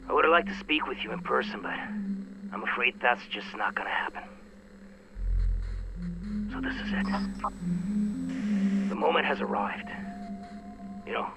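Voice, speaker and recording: Soldier dad in a video game, hushed creaky breathy confession, grim and heavy, music blaring. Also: male voice, medium pitch, poor audio. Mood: tender, sorrowful. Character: desperate, resolute army major, a devoted father. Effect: recorded voice message. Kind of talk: video game cutscene acting.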